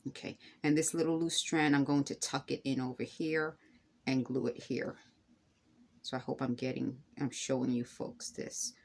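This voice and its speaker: A woman's voice: rumbling low, fairly even intonation, moderate pace, creaky, darkish tone. A woman hosting a craft tutorial, chatting casually to viewers and rambling a little as she explains each step by hand. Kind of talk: casual hands-on demonstration, talking to viewers.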